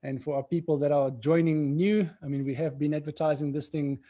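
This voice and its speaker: Rambling man, dark voice. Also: fairly high pitch, little pitch movement, a little fast, dull audio. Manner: rambling, many fillers and restarts. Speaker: co-host of an immigration webinar. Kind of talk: casual livestream hosting.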